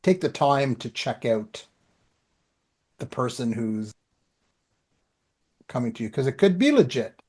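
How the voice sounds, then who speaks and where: A middle-aged man with an average-pitched voice co-hosts a podcast. He talks informally and plainly, as if swapping everyday stories. The delivery is casual and conversational. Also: expressive, unhurried, pauses often, a little mellow. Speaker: podcast co-host and writer. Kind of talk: casual podcast chat about writing.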